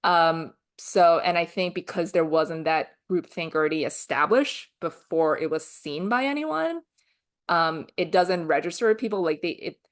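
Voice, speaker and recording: A woman co-hosting a podcast, talking in a candid, blunt way with casual swearing and lots of "like" fillers. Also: sits low. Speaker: podcast co-host.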